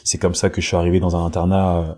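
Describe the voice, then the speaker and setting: A man's voice: low voice, level tone, fast, dark tone. A man from Congo, a pro basketball player, talking casually as a podcast guest, hesitant with many fillers and repeats, recorded on his own home podcast mic. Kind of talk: casual interview talk. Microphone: home podcast mic with headset.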